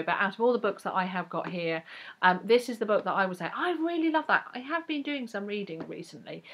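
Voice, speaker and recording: A woman's voice: medium pitch, fairly bright tone. A young English woman chatting to camera as a book vlogger, rambling with fillers and restarts. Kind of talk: casual chat to camera.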